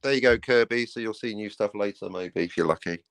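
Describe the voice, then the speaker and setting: A man's voice: lowish voice, a little fast, vocal fry, a bit bright, no room sound, clean studio sound. A man bantering on a livestream in a teasing, wry, mock-grumpy way.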